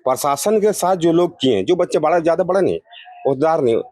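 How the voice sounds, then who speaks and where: Fast-talking man with a mellow voice. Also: on the high side, animated, dry recording, clean studio sound. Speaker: local resident interviewed. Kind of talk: street interview, opinion statement.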